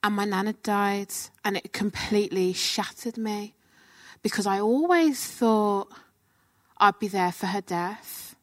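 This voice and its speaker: A woman from Birmingham answering from the stage, candid and reflective, at a middling pitch. Her voice sounds close and dry, with no room around it. She thinks aloud and lets her answer unfold as she goes. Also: a little slow, no room sound. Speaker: performer answering audience questions. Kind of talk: reflective answer in a post-show talk.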